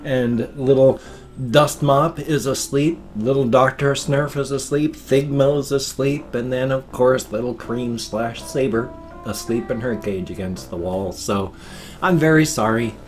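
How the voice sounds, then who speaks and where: A man's voice: medium pitch, a little slow, soft background music, rough recording. An older man, hosting a rambling, chatty morning vlog from his home desk, sounding nasal and congested with a slight wheeze. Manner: folksy, chatty, meandering. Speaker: vlog host. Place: small home room at a desk.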